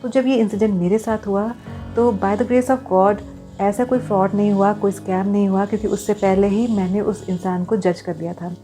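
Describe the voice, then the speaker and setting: A woman's voice: medium pitch, fairly even intonation, moderate pace, warm tone, soft background music. A woman talking to viewers in an earnest, explanatory vlog style, mixing in English phrases. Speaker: vlogger.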